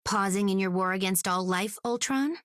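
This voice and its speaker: An actress playing an empathic alien heroine in a video game, calm and formal with gentle teasing. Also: female voice, mid-range voice, normal speed, dry recording, studio quality, close to an everyday voice. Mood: steady, grave.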